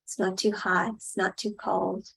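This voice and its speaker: A woman leading a guided meditation in a soothing style, speaking in short, unhurried phrases with long pauses. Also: mid-range voice, flat delivery, creaky, fairly bright tone, husky, low-quality recording.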